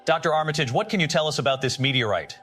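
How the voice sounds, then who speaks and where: Radio drama news anchor, played by a man: quick, bright delivery, composed and formal. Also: fairly high pitch, animated, faint music behind. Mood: composed, inquiring. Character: brisk, polished male television news anchor. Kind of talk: scripted broadcast news reading in audio drama.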